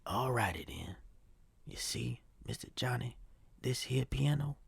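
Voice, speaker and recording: Man acting a husky, folksy piano man. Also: mid-range voice, lively intonation, measured pace, no echo, heavily acted. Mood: gentle, confiding. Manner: folksy, ungrammatical, plain-spoken. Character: weary, kindly male piano player confiding in a boy. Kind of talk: period audio drama acting.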